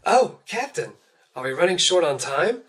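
A man's voice: sits high, sing-song, normal speed, noisy background. A man voicing a breezy, chummy mech engineer guiding the player through a video game tutorial. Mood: chummy, upbeat. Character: upbeat, playful mech engineer, proud of his machine.

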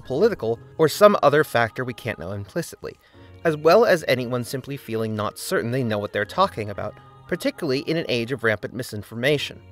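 Measured man narrating an essay. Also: medium pitch, fairly bright tone. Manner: measured, deliberate reading. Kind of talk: scripted narration.

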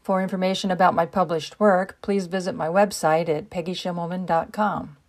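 A woman's voice: fairly low pitch, fairly even intonation, normal speed. An American woman, a poet, reading a prepared introduction and verse aloud. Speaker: poet and writer.

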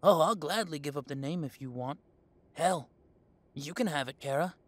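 A man voices a defiant young werewolf heir. He pitches fairly high for a male and sounds sarcastic and indignant, in audio roleplay style. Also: moderate pace, dry recording, lightly acted. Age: young adult. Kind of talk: audio roleplay drama acting.

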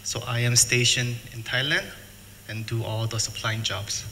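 Man, middle-aged, seminar presenter, halting plain English, long hall reverb. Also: mid-range voice, flat delivery, bright, forceful tone. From United States. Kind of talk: conference presentation. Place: hotel function room. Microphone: table mic, filmed from afar. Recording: reverberant hall, faint noise.

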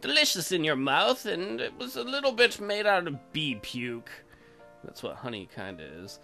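A man's voice: high voice, big pitch swings, normal speed, creaky, bright, forceful tone, faint music behind. A man giving comedic let's play commentary, reading game text aloud in a goofy, crude, improvised way. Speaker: gaming video host.

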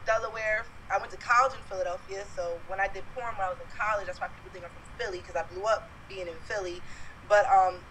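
A young woman from Brooklyn with a New York accent, chatting forcefully as a guest on a phone video call from her bedroom. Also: medium pitch, a little hiss or hum, rough recording. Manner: forceful, talks at people. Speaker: interview guest. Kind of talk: casual video-call chat. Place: bedroom at home, indoors.

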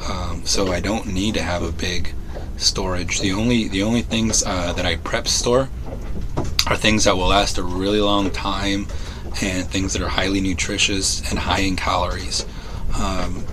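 A man's voice: sits low, fairly even intonation, moderate pace, background noise. A man vlogging about his prepping plans, talking off the cuff with many ums, uhs and restarts. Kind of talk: casual talk to camera. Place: on a boat.

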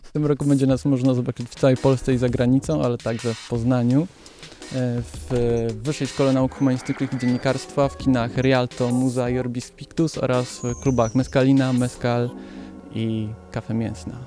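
A man's voice: mid-range voice, flat delivery, normal speed, mellow, faint music behind. A man, a festival organiser, giving an informative promotional talk.

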